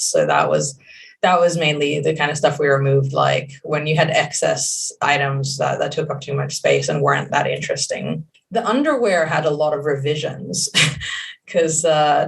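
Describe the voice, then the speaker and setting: A young woman with a gravelly, creaky voice, a native Hebrew speaker talking through her answers in a loose, rambling way. She restarts often and fills gaps with "like" and "uh". The mic sits a little far from her. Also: low-pitched. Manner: rambling, many fillers and restarts. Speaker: game creative director. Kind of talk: casual interview answers.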